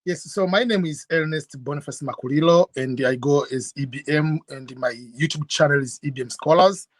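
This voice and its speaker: A middle-aged man from Tanzania, a guest telling his story in an interview in an earnest, measured way. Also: fairly high pitch, no room sound. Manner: earnest, measured, with fillers and restarts. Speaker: guest YouTuber.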